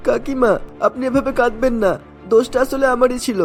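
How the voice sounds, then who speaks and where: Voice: mid-range voice, steady tone, brisk, faint music behind, lightly acted. A performer voicing a guilt-ridden, earnest young male friend in a cartoon, pleading and reassuring. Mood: remorseful. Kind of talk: Bengali cartoon voice acting.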